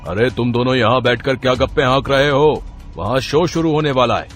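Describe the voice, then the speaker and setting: An actor playing a greedy, bullying circus manager in a cartoon story, scolding, sarcastic and threatening. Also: male voice, mid-range voice, a little fast, soft background music, natural voice.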